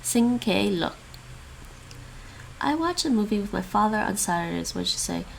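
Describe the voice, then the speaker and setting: A woman's voice: mid-range voice, expressive, normal speed, vocal fry, slight background noise. A woman who grew up with English and Chinese, chatting casually and thinking aloud as she practices languages on a stream. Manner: thinks aloud, repeats foreign phrases. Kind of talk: casual chat while studying languages.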